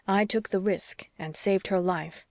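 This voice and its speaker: A woman voicing an earnest, stubborn young male doctor who reasons in a measured, formal way, as in an audiobook. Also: mid-range voice, steady tone, no echo, clean studio sound, close to an everyday voice. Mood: hurt, stiff. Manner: measured, formal, slightly stiff. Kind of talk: audiobook character dialogue.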